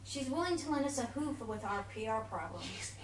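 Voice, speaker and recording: A woman acting as a bossy, no-nonsense female pony at a radio station, commanding and brisk, in a radio play. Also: on the high side, expressive, far from the mic, natural voice. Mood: confident. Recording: noisy, echoey room, off the mic.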